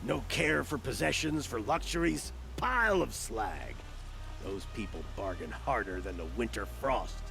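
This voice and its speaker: Shifty male gambler-merchant for a game: slow, gravelly, swooping sales patter, blustering and hesitant. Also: mid-range voice, animated, fairly bright tone, faint music behind. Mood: grumbling, scheming. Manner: hesitant, stammering, throat-clearing, sly salesman patter. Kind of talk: video game dialogue acting.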